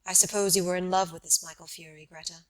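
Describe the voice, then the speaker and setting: A woman's voice: on the low side, fairly even intonation, fast talker, recorded from a distance, close to an everyday voice. A woman narrator voices a husband in an audiobook, humbled and sorrowful. He questions his wife in a subdued, unassertive way, with little force behind the words. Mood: humble, subdued. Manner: humble, indifferent-sounding, sad.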